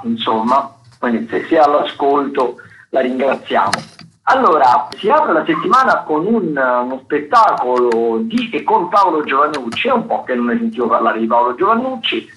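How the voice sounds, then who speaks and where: A man's voice: medium pitch, pushed and bright, slight background noise. A man presenting cultural listings on the radio in a spontaneous, digressive way, often searching for words. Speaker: theatre critic and agenda presenter. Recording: dull, lo-fi radio sound.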